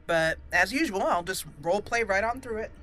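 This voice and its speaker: A woman's voice: medium pitch, lively intonation, fairly quick, a bit bright. A woman hosting a roleplay let's play, chatty and moving between commentary and in-character lines. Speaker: gaming host.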